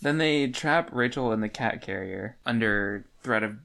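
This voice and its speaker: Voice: mid-range voice, animated, measured pace, gravelly, a bit bright. A podcast co-host chatting casually about a book in a dry, matter-of-fact way. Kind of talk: casual book discussion chat.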